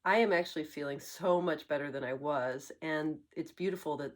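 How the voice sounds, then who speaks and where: A woman hosting a tarot channel, getting over a cold and slightly congested, speaking in a reflective, musing way with frequent pauses and fillers. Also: low voice. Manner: musing, searching for words, many fillers. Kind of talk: reflective spoken monologue.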